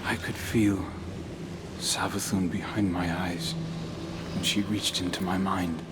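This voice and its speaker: A troubled, compassionate scout in a video game, with a fairly low voice. He speaks in an earnest, questioning way over loud background noise. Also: flat delivery, a little slow. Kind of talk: dramatic video game voice acting.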